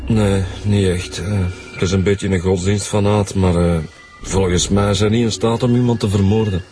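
A man's voice: lowish voice, fairly even intonation, normal speed, close to an everyday voice. A man playing a grumbling, laid-back police detective with a Flemish accent. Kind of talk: radio drama acting. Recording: music under dialogue.

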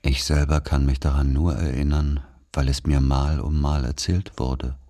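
A man narrating a literary radio play in the first person as someone recalling a rural childhood, measured and reflective. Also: rumbling low, level tone, mellow.

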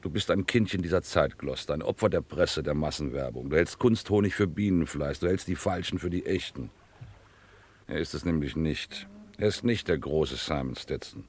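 A scheming gentleman crook with a notably deep voice. He speaks in pompous, carefully placed phrases and patronises his henchman. The delivery is that of a vintage radio crime drama. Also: breathy edge. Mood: mock-pitying. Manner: grandiloquent, patronising, deliberate phrasing. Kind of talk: vintage German radio crime play acting.

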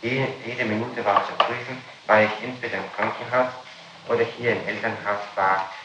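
A man questioning formally as an interrogator and reading documents aloud in a halting, stumbling way, on an old archival tape. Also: fairly low pitch, flat delivery, bright, forceful tone, reverberant, slight background noise, rough recording. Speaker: police or judicial interrogator.

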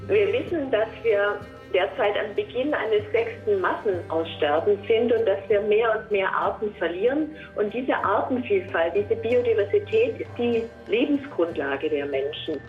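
A woman's voice: medium pitch, lively intonation, a little slow, soft background music. Woman explaining, thin phone-like echoey sound. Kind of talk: explanatory expert statement.

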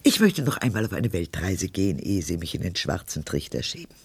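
Radio play: fussy ancient woman, low gravelly voice, dramatic swoops, grumbling and haunted by death. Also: big pitch swings, a little fast, dry recording, heavily acted. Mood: dread, defiant. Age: older. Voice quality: gravelly, husky. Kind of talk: literary radio drama monologue.

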